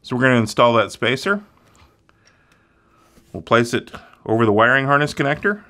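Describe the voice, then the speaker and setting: A man's voice: medium pitch, animated, moderate pace, bright, forceful tone. A man walking through an electronics repair step by step in a plain, methodical way. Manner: methodical, plain instructional delivery. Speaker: repair instructor.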